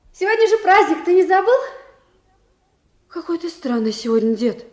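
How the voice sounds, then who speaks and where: Actress as high-pitched, bubbly young granddaughter. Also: female voice, darkish tone, close to an everyday voice. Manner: chatty, fond, bubbly. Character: lively, affectionate young granddaughter, a student. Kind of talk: Soviet radio drama acting. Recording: echoey radio studio recording.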